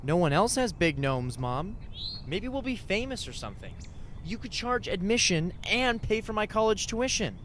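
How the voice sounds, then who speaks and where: Radio drama: slangy teen son played by a man, sulky but keen, high-pitched, very lively delivery. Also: normal speed, a little hiss or hum. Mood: eager, upbeat. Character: defiant, eager teenage son. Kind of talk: radio play acting.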